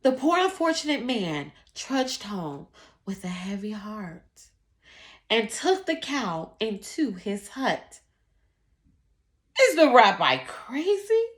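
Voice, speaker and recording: A woman reading a children's story aloud, with expressive narration and deliberate explanatory asides to the listeners. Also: mid-range voice. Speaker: children's read-aloud teacher.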